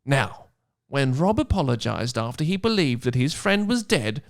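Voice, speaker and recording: A man voicing an earnest, know-it-all schoolgirl witch who explains magic in a deliberate, emphatic way for a comic story reading. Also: medium pitch, very animated. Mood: earnest, explanatory.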